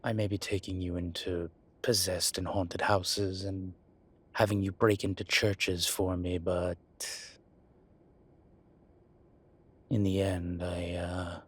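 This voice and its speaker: Casting: male actor as age-old demon academic for audio roleplay, breathy and husky, unhurried, gruffly tender and contrite, close one-to-one delivery. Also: deep voice, a bit bright, heavily acted. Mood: sincere, apologetic. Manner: slow, halting, measured delivery with sighs. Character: ancient male demon professor, gruff but contrite and caring.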